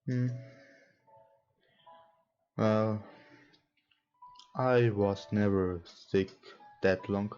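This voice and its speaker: Slow, low-voiced man over guitar music. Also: a little mellow. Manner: hesitant, halting, searching for words. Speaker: let's player. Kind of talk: reading visual novel text aloud with casual commentary. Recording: dull lo-fi mic with music behind. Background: guitar game music.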